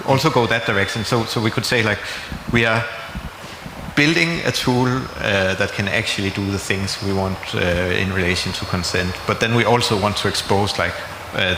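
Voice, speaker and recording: A man answering questions at a conference, speaking hesitantly with many fillers. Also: lowish voice. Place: conference room. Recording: echoey hall with room noise.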